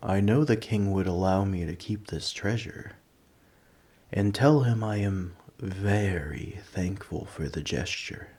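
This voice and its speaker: A man playing a large, ancient, nerdy dragon in an ASMR roleplay, polite and earnest but a little huffy, with a soft voice. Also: on the low side, slightly rough, full character voice. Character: large, ancient, nerdy gentle male dragon, politely indignant coin collector. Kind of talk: ASMR roleplay audio drama.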